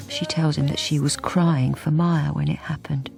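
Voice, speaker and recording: A lonely, invisible middle-aged wife who narrates her own radio drama. Her voice is low and creaky, dropping at times to a breathy near-whisper. She confides hesitantly, wistful and understated. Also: steady tone, moderate pace, a little mellow, soft background music. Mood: wistful wonder.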